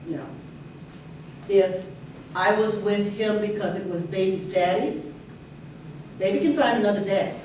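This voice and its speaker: A woman's voice: medium pitch, a little slow, long pauses, a little mellow. A woman clinical therapist speaks earnestly to a campus audience, leaning on key words and sometimes restarting a sentence. She sits far from the microphone in a strongly echoing room, with a faint hiss underneath. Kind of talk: breakout session talk. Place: university lecture room.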